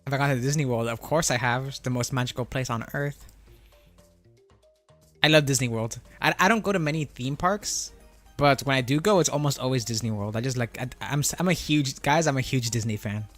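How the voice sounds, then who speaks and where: A man's voice: mid-range voice, fairly quick, fairly bright tone. Laid-back young man streaming over music. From Florida. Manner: laid-back and rambling, reads out chat questions, frequent laughs and self-corrections. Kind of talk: casual live-stream chat with viewers.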